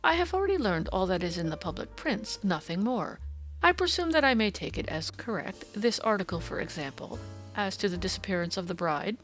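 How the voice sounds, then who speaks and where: Storybook role: self-assured gentleman sleuth, suave, inquisitive, precise, expressive range performed by a female reader. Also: medium pitch, fairly quick, soft background music. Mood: probing. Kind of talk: audiobook character dialogue.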